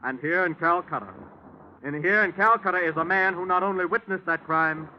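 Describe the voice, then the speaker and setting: A man's voice: high-pitched, a little fast, lightly acted. A man with an American accent playing an earnest, genial army chaplain turned pastor in a 1940s radio drama, speaking in a folksy, pleading way. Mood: earnest, urgent. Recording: muffled, noisy 1949 radio recording.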